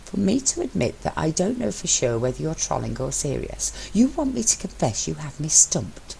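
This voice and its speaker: A woman voicing an exasperated, bossy and sharp-tongued young man in an audio story. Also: sits low, sing-song, normal speed, gravelly, a little hiss or hum, full character voice, low-quality recording. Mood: challenging. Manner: exasperated, cutting, foul-mouthed.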